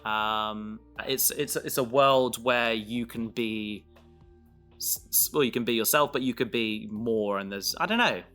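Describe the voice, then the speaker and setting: A man talks casually and reflectively in a bright, forward voice. He pieces thoughts together as he speaks, in a halting way. Soft guitar music plays faintly behind him. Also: medium pitch. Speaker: podcast creator and host.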